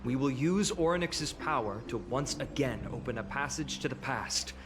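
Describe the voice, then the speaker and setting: An actor playing an earnest, resolute young warrior hero in an anime fantasy game. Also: male voice, medium pitch, animated, moderate pace, long reverb tail, close to an everyday voice. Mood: determined, strategic.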